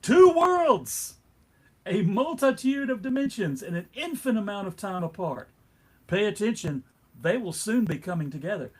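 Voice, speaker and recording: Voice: mid-range voice, very animated, normal speed, husky. A narrator reading scripted comedic narration in a wry, theatrical manner.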